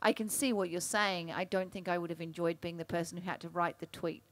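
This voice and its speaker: A woman's voice: lowish voice, level tone, brisk. A woman writer talking informally as a guest in a live panel discussion. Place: live event room.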